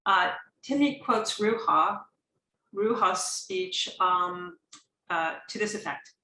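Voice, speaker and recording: Woman hosting a talk, bright clear voice, stops a lot with um and uh. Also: lowish voice, roomy sound, slight background noise, rough recording. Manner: halting, with many um and uh pauses. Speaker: event host introducing keynote speakers. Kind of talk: formal introduction read aloud.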